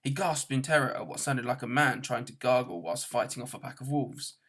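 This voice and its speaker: A man narrates a storybook as an audiobook reader. His pitch is medium and he reads from the script. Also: expressive, fairly quick, fairly bright tone.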